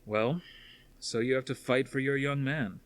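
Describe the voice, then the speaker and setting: A man voicing a steady, fatherly older military commander in a science fiction story reading. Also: medium pitch, a little slow, voice pushed up, natural voice. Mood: patient, consoling.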